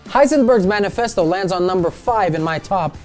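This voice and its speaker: A woman's voice: mid-range voice, expressive, brisk, darkish tone, soft background music. A woman presenting a scripted countdown list with chatty, opinionated pop-culture commentary.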